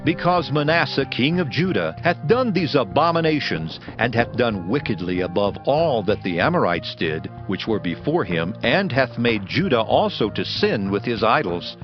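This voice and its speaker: A man's voice: mid-range voice, very animated, normal speed, hardly any pauses, close to an everyday voice. A man playing a stern prophet, grave and emphatic, in a declamatory dramatized scripture reading. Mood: stern, foreboding. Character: stern male prophet pronouncing doom. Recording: dull sound under loud music. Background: music.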